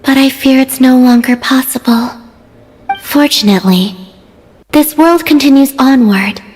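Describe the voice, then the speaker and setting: Mystical young woman, high voice, gentle, wistful, formal phrasing, game dialogue, long echoing reverb. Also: a little slow, husky. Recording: reverberant hall sound, music behind.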